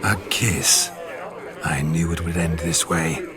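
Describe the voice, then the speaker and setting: A man's voice: low-pitched, fairly even intonation, slow, a loud crowd. An actor voicing a flamboyant, carefree dead nobleman with theatrical, flirtatious, florid speech. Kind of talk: game cutscene voice acting.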